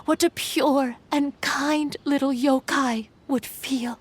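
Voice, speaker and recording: A woman voicing a sorrowful, weary yokai spirit in a video game, speaking wistfully in breathy half-whispers. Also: sits high, fairly even intonation, takes it slowly. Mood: wistful, mournful. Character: sorrowful, weary little female yokai spirit of an old paddle.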